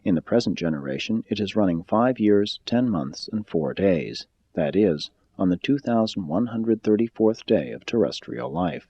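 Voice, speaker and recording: Low-voiced man reading formally, mellow tone. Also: expressive, moderate pace, hardly any pauses, slightly rough. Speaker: audiobook-style reader. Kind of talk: formal scripted reading of a spiritual text. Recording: slightly dull, muffled sound.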